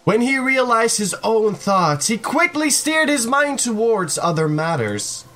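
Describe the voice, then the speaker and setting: A man hosting a gaming video, reading a visual novel aloud in a theatrical, expressive way. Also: very high voice, measured pace, soft background music.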